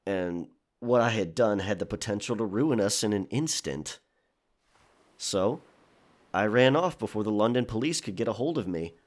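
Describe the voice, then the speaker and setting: A low male voice from an actor as a young pirate in a historical audio drama. He is world-weary and wry, with a halting, confessional delivery that carries a disgraced past. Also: lightly acted.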